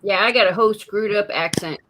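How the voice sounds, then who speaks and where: A woman hosting a casual live morning show, playful and teasing, with quick comebacks. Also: mid-range voice, noisy background. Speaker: host.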